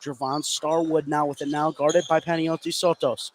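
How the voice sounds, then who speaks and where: A man with a high-pitched voice calls a basketball game live on the radio. He follows each play as it happens in an excitable manner. There is clear noise from the gym behind him. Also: moderate pace. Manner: excitable, calling plays as they happen. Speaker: play-by-play sports announcer. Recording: noisy live gym broadcast.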